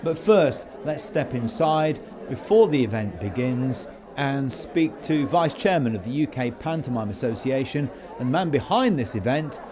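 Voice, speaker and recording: A man's voice: medium pitch, expressive, measured pace, a crowd in the background. A man presenting a podcast as host, in scripted narration and interview questions.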